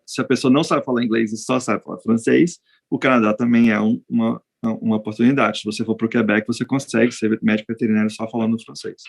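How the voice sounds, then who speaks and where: A Brazilian veterinarian answering interview questions in a relaxed, hesitant way, with frequent restarts. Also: male voice, mid-range voice, quick pace, creaky, hardly any pauses, husky. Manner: hesitant, many restarts and fillers. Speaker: veterinarian guest. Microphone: video call.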